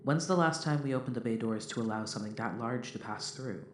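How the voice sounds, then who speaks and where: Actor as composed male android shipmate, medium pitch, crisp formal diction, roomy sound, radio play. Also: natural voice. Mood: polite. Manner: formal, courteous, deliberate. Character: calm, polite male robot crew member. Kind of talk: science fiction audio drama acting. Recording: roomy echo, faint noise.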